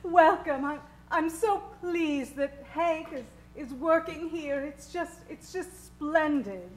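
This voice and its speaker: A woman acts a kindly small-town cafe owner with a high voice. She sounds sweet, genteel and solicitous, in old-time radio style. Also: slow pace. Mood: welcoming, concerned.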